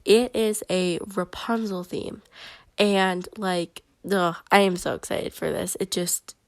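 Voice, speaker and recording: A woman's voice: lowish voice, slow, dry recording. A woman with a stuffy, head-cold voice, chattily narrating a voiceover for her bullet journal video. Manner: chatty and excitable, many fillers.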